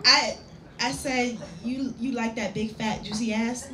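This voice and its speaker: A woman's voice: a little high, steady tone, unhurried, pitched above the performer's own voice, lightly acted. A woman voicing a shy but eager woman awkwardly attempting seduction in a live story reading, hesitant and coy. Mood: awkwardly seductive. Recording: roomy live venue recording with background noise.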